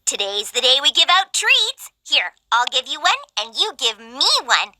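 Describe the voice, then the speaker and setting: A woman voicing a bubbly, excitable young girl from a fantasy video game. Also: sits high, a little slow, exaggerated voice. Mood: excited, playful. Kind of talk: video game character voice acting.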